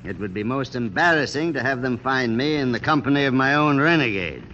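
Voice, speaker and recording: An actor playing a grave, commanding army general in old radio drama, speaking in a formal, stately way. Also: male voice, medium pitch, animated, a little fast, sharp tone, highs cut off. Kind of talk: 1940s-50s radio drama acting. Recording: faintly noisy old radio recording.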